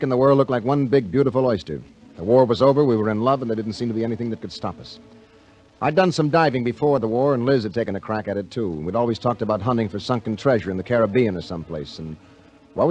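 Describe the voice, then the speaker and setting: An actor playing an easygoing young navy veteran diver with an American accent, breezy and colloquial, in old radio drama style. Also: male voice, lowish voice, a little mellow, natural voice. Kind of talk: 1950s radio acting, first-person narration. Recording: vintage 1950 radio recording.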